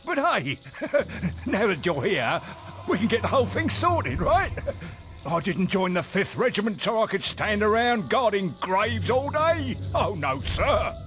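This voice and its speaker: An actor playing a nervous, eager, chatty lowly soldier on guard duty in a fantasy video game. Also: male voice, very animated, unhurried, a bit bright, soft background music, heavily acted. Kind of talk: character acting for a video game.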